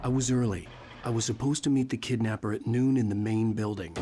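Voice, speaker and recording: An actor playing a haunted, desperate writer on the run, narrating in a brooding, measured, noir style for a video game. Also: male voice, lowish voice, steady tone, noisy background, lightly acted. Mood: grim, desperate. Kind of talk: scripted noir-style first-person narration.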